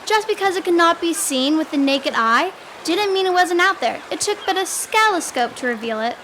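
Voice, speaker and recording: A girl plays a spirited young maiden of old Sleepy Hollow in a school radio drama. She is high-pitched and bright, hopeful in temper, with faint noise behind. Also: expressive, normal speed. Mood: earnest, admiring. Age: young teenager. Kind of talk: school radio play acting.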